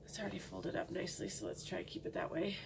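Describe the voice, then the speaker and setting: A woman's voice: on the low side, expressive, fast, vocal fry, background noise. A woman with a cold, vlogging casually at home, rambling with many ums and sometimes dropping to a near-whisper. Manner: drops to a near-whisper at times. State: sniffly with a cold. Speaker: cross-stitch vlogger. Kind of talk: casual chat to camera.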